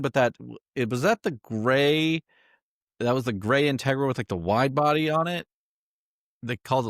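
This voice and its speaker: A man's voice: mid-range voice, no room sound, clean studio sound. A man co-hosting a casual motorsport podcast, thinking aloud with lots of restarts and eager remarks. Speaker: podcast co-host. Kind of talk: casual chat.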